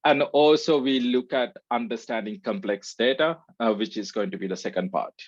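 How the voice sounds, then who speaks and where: A man's voice: medium pitch, normal speed, dry recording. A research scientist from Sri Lanka gives a conference talk and fills it with "uh". His voice comes through dull and dry, like audio from an online call. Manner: frequent "uh" fillers, relaxed. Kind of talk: conference presentation.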